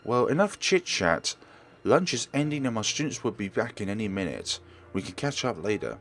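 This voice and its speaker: A man's voice: fairly low pitch, big pitch swings, normal speed, fairly bright tone, a little hiss or hum. A man voicing a motherly young woman teacher from a visual novel, scolding affectionately. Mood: brisk, fond. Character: motherly, fussing young woman teacher, kind but nagging. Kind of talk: visual novel dialogue read aloud.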